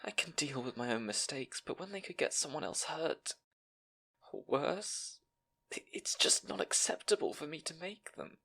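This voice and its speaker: A man playing a timid, self-doubting young scribe in an intimate fantasy audio roleplay, speaking in a nervous, halting, soft way. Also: a little high, animated, whispered, full character voice. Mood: anxious, pleading.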